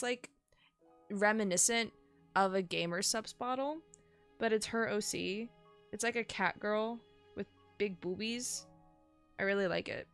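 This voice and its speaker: Sick-sounding streamer woman, gravelly edge. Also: mid-range voice. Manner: rambling, swears casually, self-deprecating. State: sick, nasal and sniffly. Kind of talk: casual live-stream chat.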